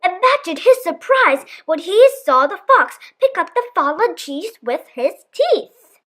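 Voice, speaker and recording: A woman storyteller reading a children's story aloud in an expressive, theatrical manner. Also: very high voice, measured pace.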